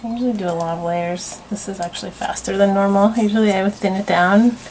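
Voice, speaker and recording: A woman illustrator talking through a painting demonstration in her studio, thinking aloud and murmuring softly as she works. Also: medium pitch, fairly even intonation, quick pace, slight background noise. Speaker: author and illustrator.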